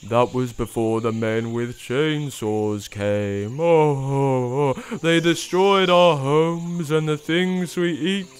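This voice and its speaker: A man doing a very slow, mournful young tapir. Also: medium pitch, clean tone, close to an everyday voice. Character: young mournful male tapir, last of his herd.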